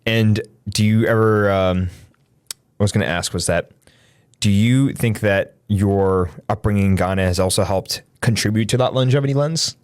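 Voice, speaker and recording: A man's voice: lowish voice, normal speed, smooth, no echo, clean studio sound. A man hosting a casual interview, affirming his guest and finishing his sentences. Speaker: podcast host.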